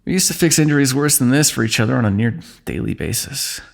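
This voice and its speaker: Guy doing a wounded fantasy ruler, dry and teasing, kinda gravelly. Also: mid-range voice, fast talker. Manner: dry, teasing, calm understatement. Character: wry wounded male ruler, teasing old comrade. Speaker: ASMR roleplay voice actor. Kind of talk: fantasy ASMR roleplay.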